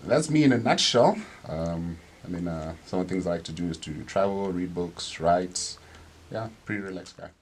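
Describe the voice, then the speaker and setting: Low-voiced relaxed young man. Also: moderate pace, a little hiss or hum. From Malawi. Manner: relaxed, loose, with many fillers. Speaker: guest. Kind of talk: radio interview. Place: radio studio.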